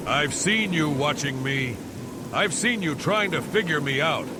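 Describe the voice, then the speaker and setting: A man's voice: fairly high pitch, expressive, a little slow. A man playing a boastful heretic villain in a fantasy game, pompous, theatrical and full of contempt. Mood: menacing, boastful. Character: boastful, menacing heretic villain, damned priest-slayer. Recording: loud rain and thunder noise behind.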